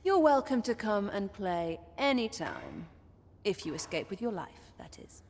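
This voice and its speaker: A woman's voice: mid-range voice, big pitch swings, measured pace, a little mellow, slight background noise. An actress voicing a sly, flirtatious lady of a pleasure house in a fantasy video game, teasing and haughty, with archaic formal phrasing. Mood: seductive, businesslike.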